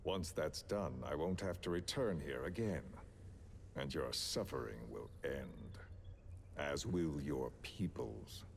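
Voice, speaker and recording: An actor playing a menacing, philosophical villain in a video game, speaking deliberately and with theatrical condescension. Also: male voice, very deep voice, vocal fry, slight background noise, heavily acted. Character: menacing, philosophical male villain coercing a captive. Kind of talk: dramatic video game voice acting.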